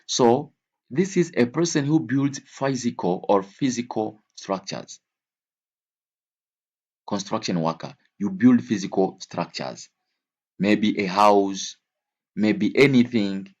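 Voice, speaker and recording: A man's voice: lowish voice, slow, pauses often, darkish tone, slightly rough, lo-fi sound. A man teaching an English vocabulary lesson in a didactic way, repeating key words and switching between languages.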